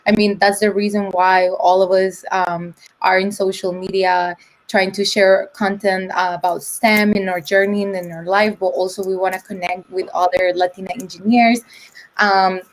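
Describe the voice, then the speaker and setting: A woman's voice: medium pitch, rough recording. Young woman, English, casual presenter with a chatty, filler-heavy delivery, video-call audio. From El Salvador. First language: Spanish. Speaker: conference presenter.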